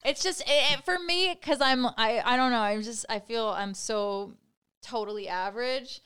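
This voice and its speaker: A young woman guest with a bright, crisp, very clear voice, a little higher than most. She talks earnestly about her experience, hesitating and restarting her sentences as she goes. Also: moderate pace. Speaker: guest, social media influencer. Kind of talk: casual interview chat.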